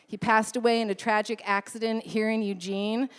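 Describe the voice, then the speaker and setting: A woman presenter giving a prepared introduction speech at a live event in a smooth, clear voice. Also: a little high, little pitch movement, normal speed. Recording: echoey hall with long reverb.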